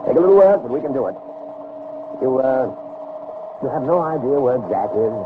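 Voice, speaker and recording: Man playing a proud, animated older scientist. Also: medium pitch, phone-quality sound, lightly acted. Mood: brisk, musing. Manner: grandiose, brisk, self-satisfied. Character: proud, obsessive older male scientist devoted to his machine. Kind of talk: 1960s radio drama acting. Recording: noisy, band-limited vintage radio recording.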